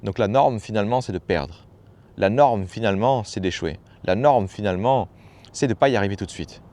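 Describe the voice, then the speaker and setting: A man's voice: lowish voice, a little fast, darkish tone. A man giving a casual motivational talk as a personal development coach, familiar and slangy, hammering points home by repeating phrases.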